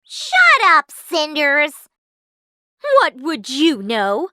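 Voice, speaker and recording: A spoiled, vain young stepsister out of a storybook, played by a woman reader. She is spiteful and snappish, with a high, sneering voice that leaps about in pitch as she mocks. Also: big pitch swings, unhurried, fairly bright tone, no room sound, clean studio sound, full character voice. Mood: snappish, demanding. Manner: mocking, snappish.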